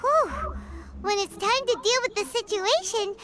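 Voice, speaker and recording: A tiny, cheeky sprite, chatters in an extremely high, squeaky voice. The delivery is excitable and very bouncy, over loud noise. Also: female voice, measured pace. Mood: relieved, chatty. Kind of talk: video game voice acting.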